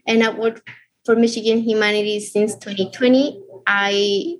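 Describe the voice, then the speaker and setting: A woman's voice: mid-range voice, fairly even intonation, moderate pace, slight background noise, low-quality recording. A young woman with a Colombian Spanish accent, a native Spanish speaker, introducing a podcast as host in a casual way.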